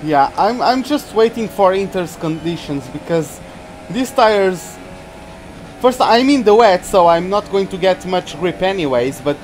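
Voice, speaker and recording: A man's voice: sits high, a little mellow, poor audio. A young Portuguese man with a Portuguese accent, chatting and laughing often as he commentates his own racing game on a live stream. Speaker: gaming streamer.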